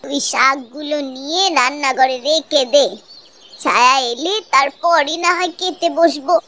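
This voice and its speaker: A woman voicing an affectionate, gently scolding old village grandmother in a cartoon, in a folksy, fond way. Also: high-pitched, a little slow, a little hiss or hum. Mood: concerned. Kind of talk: Bengali cartoon voice acting. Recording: loud music behind the voice. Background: music.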